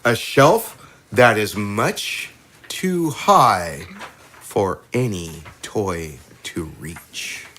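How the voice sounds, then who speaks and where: A man's voice: on the low side, lively intonation, extremely slow, bright, forceful tone, a little hiss or hum. A man narrating a mystery game as its host, in a theatrical scripted style.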